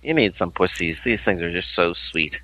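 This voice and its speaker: Chatty, bright-voiced man co-hosting a podcast. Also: sits low, lively intonation, brisk, low-quality recording. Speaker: podcast co-host. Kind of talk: casual chat. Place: living room at home, on a couch.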